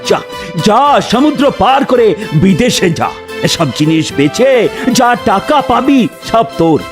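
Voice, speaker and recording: A man acts out a wealthy cartoon master with a very high voice that swings widely. He is a generous, emotional father who repeats words theatrically, with clear music behind him. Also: big pitch swings, measured pace, full character voice. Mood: grateful, commanding. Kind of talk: melodramatic cartoon voice acting.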